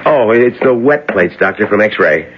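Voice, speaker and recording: An actor playing an earnest young doctor who speaks hesitantly and apologetically. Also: male voice, fairly low pitch, lively intonation, measured pace, noisy background. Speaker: junior hospital doctor. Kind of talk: 1970s radio drama acting. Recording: dull lo-fi vintage radio recording.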